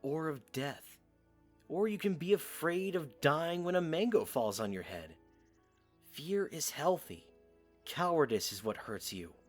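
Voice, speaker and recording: A man's voice: on the high side, lively intonation, a little slow, soft background music. A man playing a loyal young soldier in an audio drama, blunt, protective and earnest. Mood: earnest, encouraging. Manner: earnest, direct.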